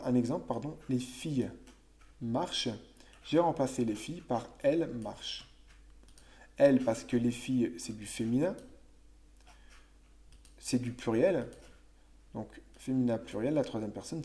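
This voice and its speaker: A man with a mellow, relaxed voice of ordinary pitch explains grammar to young pupils. He speaks casually, musing aloud, with small restarts and self-corrections. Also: unhurried, long pauses. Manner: conversational, thinks aloud with fillers and self-corrections. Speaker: teacher. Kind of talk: explaining French grammar to children.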